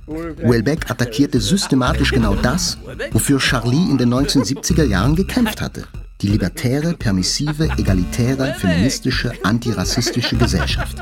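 An actor playing a reflective, haunted journalist, narrating a literary audio drama in a deliberate, introspective manner. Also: male voice, medium pitch, close to an everyday voice. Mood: brooding. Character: reflective, haunted male journalist recounting an attack he survived.

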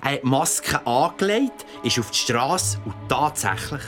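A man's voice: medium pitch, sing-song, unhurried, fairly bright tone. A man with a Swiss German accent playing a father who tells his child a creepy bedtime story, theatrical and suspenseful. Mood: mysterious, narrating. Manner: theatrical, suspenseful, sometimes whispering. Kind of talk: audio drama storytelling. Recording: music behind the voice. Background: music.

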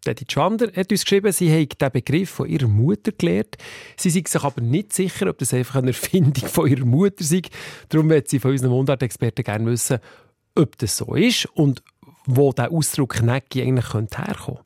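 Radio host, dry studio voice. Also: male voice, mid-range voice, expressive, fairly quick, vocal fry, a little mellow, no echo. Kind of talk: radio presenting.

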